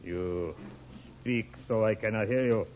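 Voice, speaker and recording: An actor playing a menacing male assassin who talks in slow, halting broken English, in an old radio drama. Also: lowish voice, a little mellow, slight background noise, close to an everyday voice. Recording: dull old radio recording.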